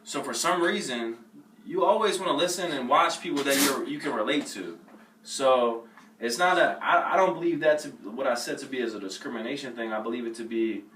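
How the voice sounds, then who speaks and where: Man, interviewee, rambling casual chat, bright tone, echoey room, distant mic. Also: medium pitch, fairly quick, slight background noise. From Maryland suburbs. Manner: rambling, talks in restarts and fillers, swears casually. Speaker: interviewee, emerging pop artist.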